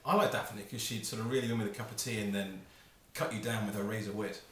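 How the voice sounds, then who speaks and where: A man's voice: fairly low pitch, fairly even intonation, fast, fairly bright tone. A man in his thirties answering quiz audition questions with playful jokes. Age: 30s. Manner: joking, playful. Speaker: quiz contestant. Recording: echoey room, faint noise.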